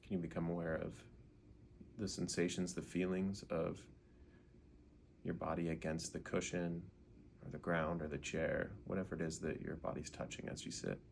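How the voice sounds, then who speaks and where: A man leading a guided meditation in an unhurried way, gently asking questions and leaving pauses. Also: sits low, level tone, gravelly, slight background noise.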